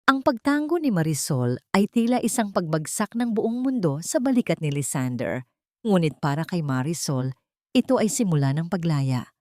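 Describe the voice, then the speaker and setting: Low-pitched Filipino woman narrating a drama. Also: very animated, normal speed, dry recording, studio quality. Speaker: audiobook narrator. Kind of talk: dramatic scripted storytelling.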